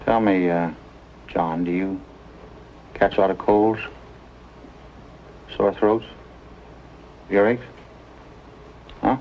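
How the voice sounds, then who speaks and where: An American man playing a calm, plain-spoken doctor with a folksy, measured manner in a 1950s TV drama. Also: low-pitched. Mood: friendly, probing. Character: calm, plain-spoken male doctor, reassuring with young patients. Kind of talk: 1950s television drama acting. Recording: muffled, noisy old 1950s TV soundtrack.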